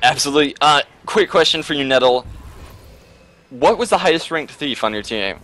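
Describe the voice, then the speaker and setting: A man's voice: fairly high pitch, animated, normal speed, fairly bright tone. A man co-hosting a game broadcast, upbeat and prone to laughing while chatting and giving color commentary. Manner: upbeat, laughs often, keeps saying "absolutely". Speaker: esports co-caster and interviewer. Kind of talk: casual chat and color commentary.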